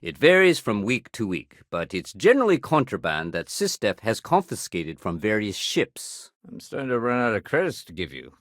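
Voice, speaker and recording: A dutiful, somewhat weary security sergeant from a sci-fi game. His voice sits a little low and moves widely in pitch. He talks in a clipped, conversational, businesslike way, recorded close and dry. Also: big pitch swings, normal speed, few pauses, a bit bright, no echo. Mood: casual, easygoing. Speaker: security officer. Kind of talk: video game dialogue acting.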